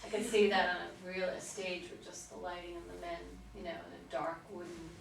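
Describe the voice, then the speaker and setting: A woman's voice: on the low side, level tone, a little fast, vocal fry. A woman talking reflectively and descriptively in a casual group discussion. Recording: noisy roomy recording, distant mic.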